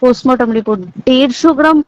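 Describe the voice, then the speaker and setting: High-pitched Indian Bengali woman, emphatic confiding phone talk, repetitive, dull call-recording sound. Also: fast talker, dry recording. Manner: emphatic, repeats points, checks listener understands. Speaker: hospital staff member. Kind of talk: private phone conversation, recounting insider details.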